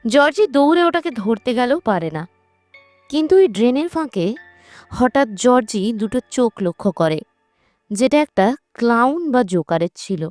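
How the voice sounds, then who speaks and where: A woman reads a scripted horror recap like a storyteller. Her voice sits on the high side. The recording is dry and close, with light music underneath. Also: lively intonation, moderate pace, darkish tone, dry recording. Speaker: narrator of a movie recap channel. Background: background music.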